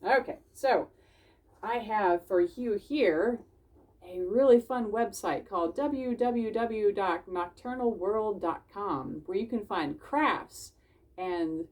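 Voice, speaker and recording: A woman's voice: mid-range voice, takes it slowly, darkish tone, far from the mic. A woman reading a children's story aloud, explaining it simply and putting questions to the listeners. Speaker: library storytime reader. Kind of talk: animated children's storybook read-aloud with asides.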